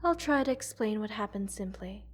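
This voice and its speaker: A woman voicing a gentle, curious alien scientist in an ASMR roleplay, speaking formally, precisely and reassuringly to the listener. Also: medium pitch, expressive, normal speed, close to an everyday voice. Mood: patient explaining. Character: gentle, curious multi-world alien scientist studying a captive human.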